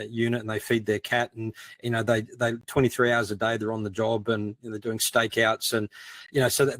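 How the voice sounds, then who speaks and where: A man's voice: on the low side, fairly bright tone, no room sound. A man in a casual panel discussion, explaining things and thinking aloud with plenty of "you know". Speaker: guest, crime fiction writer.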